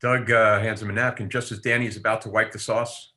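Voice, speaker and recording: A man's voice: lowish voice, level tone, fast, pushed and bright. A man reading script stage directions aloud at a table read.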